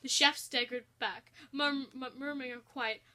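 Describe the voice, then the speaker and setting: A woman narrates a comic fan story in a fairly high, very bright voice. She reads as a storyteller, voicing the prose aloud. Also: fairly even intonation, normal speed.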